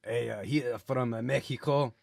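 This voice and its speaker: A man playing a dim, muddled prisoner who talks haltingly in improvised radio comedy. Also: mid-range voice, fairly even intonation, vocal fry, a little mellow, no room sound, clean studio sound. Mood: eager, halting. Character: hesitant, dim Mexican prisoner, sworn blood brother.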